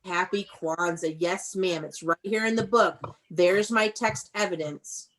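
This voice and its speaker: A woman's voice: fairly low pitch, a little slow, a bit bright, a little hiss or hum, rough recording. Woman teacher leading an online read-aloud. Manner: instructive, prompting with questions and encouragement. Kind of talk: interactive read-aloud lesson with young children. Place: online video class.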